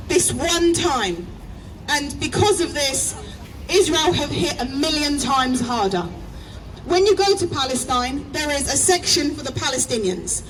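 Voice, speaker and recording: Earnest woman at a rally. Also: high-pitched. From England. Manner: emotional, earnest, repeating for emphasis. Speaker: protest speaker. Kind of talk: outdoor rally speech. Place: outdoor street rally. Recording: distant mic, loud street noise.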